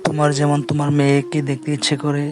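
A man's voice: a little high, monotone, fast talker, lightly acted. A man voicing a caring young village husband in a cartoon family drama, soothing and reasoning.

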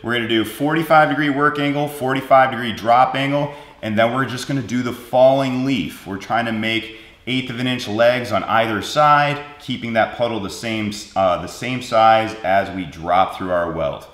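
A man's voice: medium pitch, normal speed, fairly bright tone. A man in his forties, a welding instructor giving a practical step-by-step demo in a large indoor workshop. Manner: practical, direct, explains step by step to the viewer. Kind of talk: hands-on teaching demo. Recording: roomy workshop echo.

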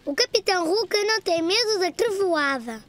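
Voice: very high-pitched, animated, moderate pace, fairly bright tone, a little hiss or hum. An actor playing a cheerful, eager four-year-old boy in a children's cartoon, with a European Portuguese accent. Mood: playful, brave.

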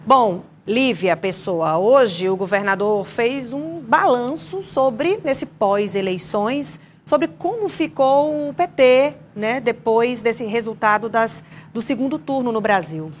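Young female news anchor, slightly high, measured scripted delivery. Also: animated, a little hiss or hum. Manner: measured scripted hand-offs. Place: TV news studio.

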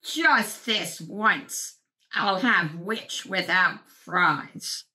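A woman's voice: lowish voice, expressive, very slow, sharp tone, heavily acted. A woman doing a mean hungry dragon. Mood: menacing.

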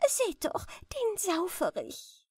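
A woman's voice: very high voice, wildly swooping pitch, slow pace, no echo, clean studio sound, pitched above the performer's own voice, full character voice. A woman voicing playful, teasing tiny elves in airy exclamations for a storybook.

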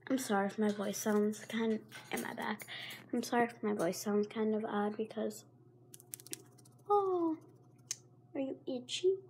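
A woman's voice: fairly high pitch, unhurried, long pauses, a little mellow, a little hiss or hum. A teenage girl with a sore throat, rambling and apologizing in a casual pet vlog, often half-whispering, filmed on a handheld phone at home. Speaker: vlogger. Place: carpeted home room, indoors. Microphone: handheld phone camera.

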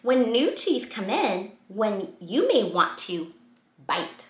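A woman's voice: medium pitch, sing-song, slow pace. A young adult woman reads aloud interactively to small children, with exaggerated expression and questions put to the listeners. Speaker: children's read-aloud host. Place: small indoor room at a desk. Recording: roomy indoor echo.

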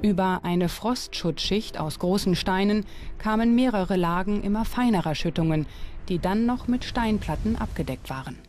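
A woman's voice: mid-range voice, animated, normal speed, darkish tone, a little hiss or hum. A woman reading scripted documentary narration as the narrator. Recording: music behind the voice. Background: background music.

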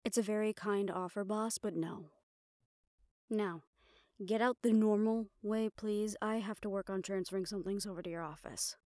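A woman's voice: mid-range voice, fairly even intonation, normal speed, dark tone, no echo, close to an everyday voice. Woman acting a dry, capable mafia assistant, close-miked. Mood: firm. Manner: dry, familiar, businesslike. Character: capable, sardonic female personal assistant to a mafia boss. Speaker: audio roleplay voice actor. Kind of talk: intimate scripted audio roleplay, one-sided dialogue.

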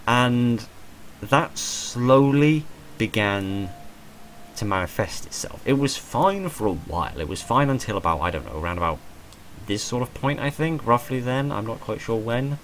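A man in his twenties with a hoarse voice, talking in a rambling, self-deprecating way about his channel and stumbling over repeated words from a small room at home. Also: mid-range voice, animated, normal speed, fairly bright tone, a little hiss or hum, rough recording. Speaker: channel creator. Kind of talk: rambling personal monologue.

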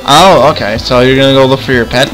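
A man's voice: mid-range voice, steady tone, quick pace, a bit bright, faint music behind, rough recording. A man improvising casual game roleplay, hesitantly and often repeating his phrases. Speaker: player in a Minecraft roleplay series.

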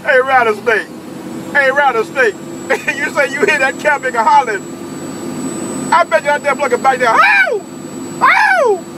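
A man's voice: very high-pitched, sing-song, a little slow, long pauses. A man bantering on CB radio in a teasing, repetitive, whooping manner. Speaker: CB radio operator. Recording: loud engine-like radio noise.

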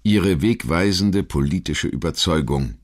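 A man reading scripted biographical narration in a measured, formal storytelling manner. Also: low-pitched, animated. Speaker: documentary narrator.

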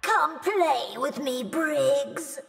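An actress playing a playful, seductive and sinister fighter in a video game, with a teasing drawl. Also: female voice, sits high, animated, very slow, gravelly, distant mic, soft background music, full character voice. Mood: sultry menace. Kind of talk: video game character acting.

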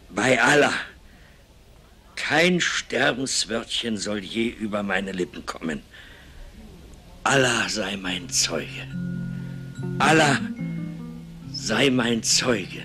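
An actor playing a humble, solemn old scholar in a puppet fairy tale, declaiming with theatrical reverence. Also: male voice, on the low side, expressive, extremely slow, pauses often, bright, forceful tone, faint music behind, full character voice. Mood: servile. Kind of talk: theatrical German puppet-play acting.

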